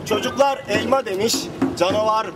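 A man telling a fairy tale as street theatre, in a declamatory way with dramatic pauses and repetitions. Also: high voice. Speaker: storyteller, street theatre performer. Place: outdoors on a city street. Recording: distant outdoor street recording under loud music.

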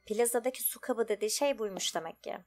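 A woman's voice: mid-range voice, fast, a little mellow, faint music behind. A woman giving casual, chatty let's play commentary, thinking aloud as she goes. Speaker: gaming video host.

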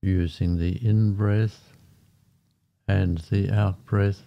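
A man guiding a meditation in a calm, unhurried manner, speaking in short phrases with long pauses between them. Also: low-pitched, gravelly. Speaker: meditation guide. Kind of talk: guided meditation narration. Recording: muffled, dull lo-fi sound. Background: background music.

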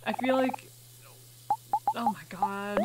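Girl gamer doing chill lets play commentary, chatty, reads stuff out, really noisy recording. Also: medium pitch. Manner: chatty, thinking aloud with drawn-out reactions. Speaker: gaming video host. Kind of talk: casual let's play commentary, reading game text aloud.

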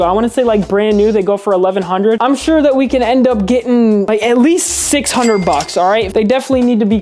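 Young American guy with a high voice. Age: 20s. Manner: animated, slangy, repeats himself for emphasis. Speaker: vlog host. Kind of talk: casual excited unboxing commentary. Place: indoor storage unit corridor. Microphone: small mic clipped to hoodie.

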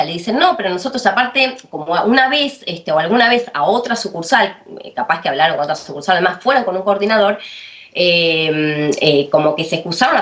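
An Argentine woman in her thirties speaks over a laptop webcam video call, her voice sitting a little low. She stops and restarts often and tucks fillers between her phrases. Under the hesitation there is steady indignation. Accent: Argentine Spanish. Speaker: interviewee, sister of the man affected. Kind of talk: remote TV news interview. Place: home room, indoors.

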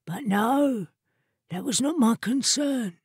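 Woman voicing a mournful, slow-talking grand old armchair. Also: fairly high pitch, lively intonation, darkish tone, breathy edge, no room sound, clean studio sound, heavily acted. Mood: sleepy, aloof. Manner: lugubrious, slow, drawn-out complaint. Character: mournful, self-important talking armchair, sleepy and aggrieved.

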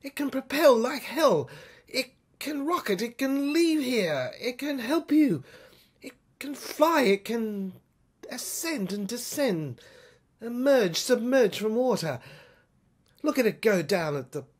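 A woman's voice: a little high, animated, takes it slowly, husky, voice pushed up, full character voice. A woman voicing a proud, commanding Amazon woman in an audio story, with emphatic, declamatory delivery. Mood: proud, excited.

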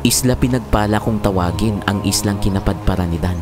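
A man's voice: fairly low pitch, fairly quick, noisy background. A man narrating a scripted horror story in a dramatic style. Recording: loud music bed behind voice.

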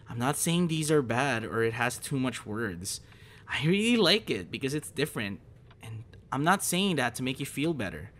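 A man playing a gentle, encouraging young voice actor in a soft, hesitant, reassuring ASMR boyfriend roleplay. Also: medium pitch, natural voice. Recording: noticeable background noise.

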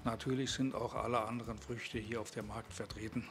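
A man's voice: lowish voice, little pitch movement, a little fast, loud music behind the voice. A man, presenting and narrating a vlog. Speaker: vlogger.

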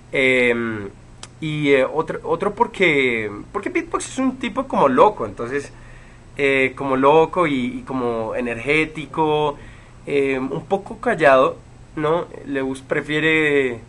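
A man in his twenties, from Chile, casually answering fan questions on a livestream from a room at home, relaxed, with many fillers and pauses. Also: mid-range voice. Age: 20s. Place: home room with bookshelves, indoors. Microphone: webcam.

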